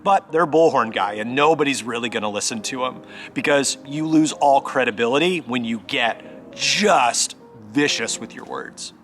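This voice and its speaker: A man giving a conversational sermon as a pastor, earnest and emphatic, speaking directly to the listener and pausing deliberately for stress. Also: mid-range voice, a bit bright, faint music behind. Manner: exhorting, addressing the listener directly.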